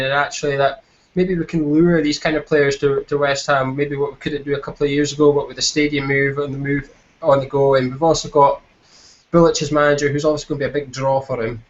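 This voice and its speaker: A Scottish man with a fairly high voice hosts a casual football fan chat. He is jokey and happy to make fun of himself. The sound is slightly dull and lo-fi. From Scotland. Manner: self-deprecating, jokey.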